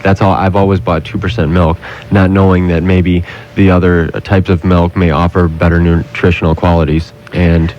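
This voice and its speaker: A man's voice: low voice, level tone, moderate pace, hardly any pauses, darkish tone, slight background noise. A man hosting a radio show, asking questions in a casual public affairs interview. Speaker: radio host. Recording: muffled, phone-like old radio recording.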